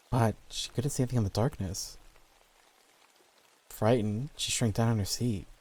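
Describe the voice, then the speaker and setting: Dark, low-voiced man narrating horror. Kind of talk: scripted horror story narration.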